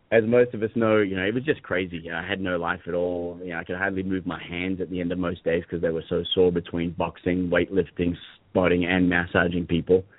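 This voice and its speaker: A man's voice: low-pitched, brisk, dry recording. A man with an Australian accent that has some American and South African mixed in, a fitness business coach chatting as a guest and laughing easily. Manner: relaxed, laughs easily, many fillers. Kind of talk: casual interview chat. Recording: dry, tinny phone-like line.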